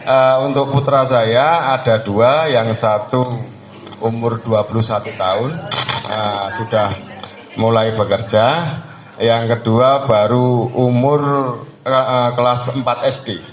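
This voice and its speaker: A middle-aged man introduces himself informally to a group, pausing between short phrases. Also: medium pitch, fairly even intonation, a crowd in the background. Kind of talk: informal self-introduction to a gathering. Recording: echoey room with faint people around.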